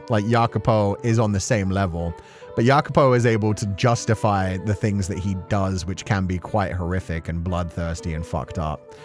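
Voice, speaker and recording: Casual male streamer, lowish voice, animated and free with swearing, music underneath. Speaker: streamer playing and reading a visual novel. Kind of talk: casual commentary on a story game.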